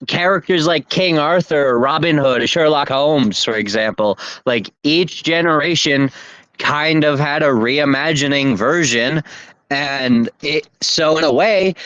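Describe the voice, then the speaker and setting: A man's voice: a little high, fairly bright tone, no echo. A man co-hosting a casual podcast chat, rambling with many fillers and restarts. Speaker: podcast co-host. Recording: dull lo-fi sound.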